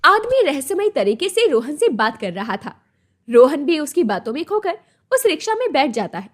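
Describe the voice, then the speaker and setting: A woman's voice: high-pitched, sing-song, normal speed, heavy background noise. A woman narrating a scripted moral story as its storyteller.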